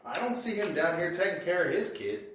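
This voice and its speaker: A man playing a gruff, bitter, suspicious father in a live comedy sketch. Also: high voice, very animated, fairly quick, a little hiss or hum. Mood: bitter sarcasm. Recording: very echoey room, distant mic.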